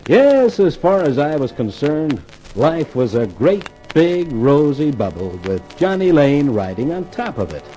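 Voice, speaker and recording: An actor playing a smug, wisecracking newspaper columnist in a 1950s hardboiled radio mystery, glib and breezy. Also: male voice, on the high side, sing-song, a little slow, mellow, soft background music, rough recording. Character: cocky, wisecracking American male newspaper columnist. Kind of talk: 1950s hardboiled radio drama narration and dialogue.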